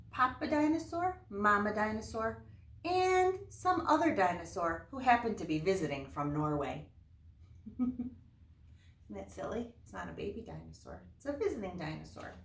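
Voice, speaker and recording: Woman teacher, roomy distant recording. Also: medium pitch. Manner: simple explanations with frequent questions to the listener. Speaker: teacher of young children. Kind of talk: early-grade lesson and read-aloud to children. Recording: roomy, distant mic, faint noise.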